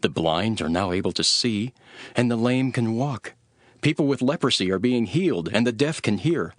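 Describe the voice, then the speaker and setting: A man playing a grave, authoritative teacher instructing his followers in a dramatised scripture reading, with measured, solemn delivery. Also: medium pitch, animated, no echo, clean studio sound, lightly acted. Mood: proclaiming.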